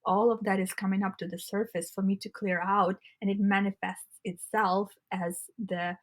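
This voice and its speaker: A woman co-hosts a relaxed spiritual podcast in a husky, raspy voice that sounds congested in the throat. She talks earnestly and often circles back to restart her phrases. Her pitch sits in a middle range. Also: moderate pace. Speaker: podcast co-host. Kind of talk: casual spiritual chat.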